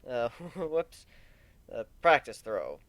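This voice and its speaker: A man's voice: mid-range voice, big pitch swings, takes it slowly, a bit bright, no room sound, exaggerated voice. A man voicing an earnest, self-conscious and easily bewildered male pegasus pony in a dramatic story reading. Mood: reassuring, awkward.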